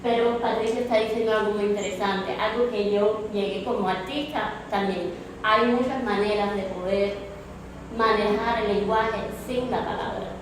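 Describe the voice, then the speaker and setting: A woman's voice: medium pitch, steady tone, moderate pace, recorded from a distance. A woman from Cuba with a Cuban accent, speaking earnestly as a panelist artist and restarting phrases as she goes. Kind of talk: reflective panel discussion. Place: exhibition space, indoors. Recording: echoey room with background noise.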